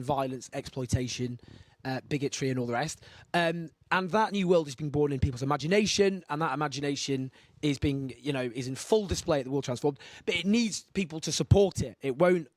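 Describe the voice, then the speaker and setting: A man in his thirties hosting a live chat show, with a husky voice and a rambling, self-mocking way of talking. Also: on the high side, a little mellow, no room sound. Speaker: host.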